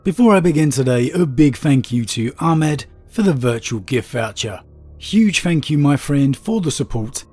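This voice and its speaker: A man's voice: fairly high pitch, animated, moderate pace, darkish tone, slightly rough, soft background music. Man with a stuffy cold, reading lore. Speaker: YouTube lore channel host. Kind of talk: reading aloud and discussing wargame lore.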